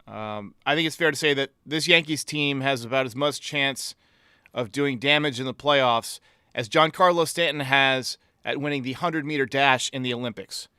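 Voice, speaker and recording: A man's voice: mid-range voice, moderate pace, bright, forceful tone, clear, resonant tone, no room sound, clean studio sound. A man hosting a baseball fan podcast, giving blunt, sarcastic opinions as sports commentary.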